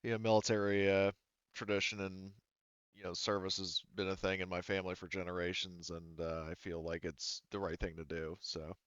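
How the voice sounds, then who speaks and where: A man plays an easygoing, dutiful woman soldier in a tabletop game. The delivery is casual and halting, smooth in tone and fairly low, in a dry recording. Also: flat delivery, fairly bright tone, no echo, highs cut off. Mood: earnest. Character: easygoing, dutiful female soldier stationed at a colony.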